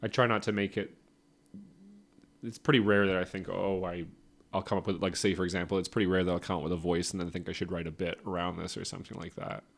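A comedian being interviewed in a casual podcast chat, rambling and hedging with many restarts. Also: male voice, low-pitched, level tone, fast talker, vocal fry. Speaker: comedian guest.

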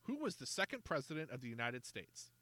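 A man voicing a clever boy detective who speaks calmly and matter-of-factly. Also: medium pitch, fairly quick, dry recording. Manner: calm, matter-of-fact.

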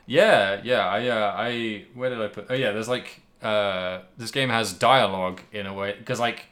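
Low, bright-voiced man chatting casually. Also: steady tone, a little slow. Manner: rambling, jokey, laughs often. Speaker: podcast co-host. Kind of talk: casual video game podcast chat.

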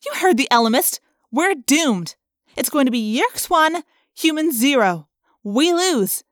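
A woman's voice: high-pitched, fairly quick, no echo, studio quality, pitched above the performer's own voice, close to an everyday voice. A woman voicing a fiery, sarcastic teenage girl under strain, emphatic and confrontational, in an audiobook. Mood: defiant, bitter.

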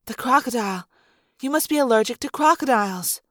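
A woman's voice: fairly high pitch, animated, fairly quick, fairly bright tone, no room sound, clean studio sound. A woman reading an audiobook voicing a kind, stubborn teenage girl who speaks earnestly.

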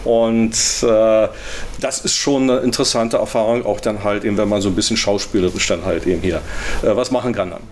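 A man's voice: on the low side, fairly even intonation, a little mellow. Man, interviewee, unscripted, hesitant phrasing, slight background noise. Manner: hesitant, halting delivery. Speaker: hotel staff interviewee.

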